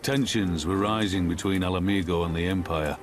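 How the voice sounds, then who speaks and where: A man's voice: sits low, a little fast, music in the background, lightly acted. A man playing a weary, plainspoken veteran soldier in a video game cutscene, speaking wistfully. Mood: wistful, reflective.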